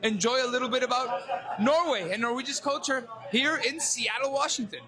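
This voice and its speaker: Man, very high voice, field reporter, upbeat, chatting with fans in a restaurant. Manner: upbeat, quick follow-up questions. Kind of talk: roving interviews with fans. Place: restaurant or bar.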